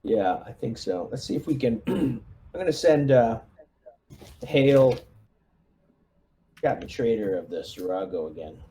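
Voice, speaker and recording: Halting, mellow-voiced man leading a game squad. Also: mid-range voice, lively intonation, slight background noise. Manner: halting, frequent throat-clearing. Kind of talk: casual in-character tabletop game talk.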